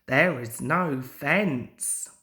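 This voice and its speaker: A young man reading a children's story aloud in a deliberate, expressive storybook manner. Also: medium pitch. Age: young adult. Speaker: amateur storyteller.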